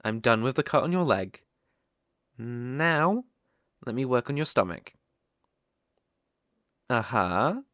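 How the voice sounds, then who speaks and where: Casting: male actor as possessive British dark elf master for ASMR roleplay; unhurried, condescending, coaxing, widely swooping intonation. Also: medium pitch, big pitch swings, no room sound, clean studio sound. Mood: gently commanding. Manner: teasing, condescending, coaxing, with soft chuckles.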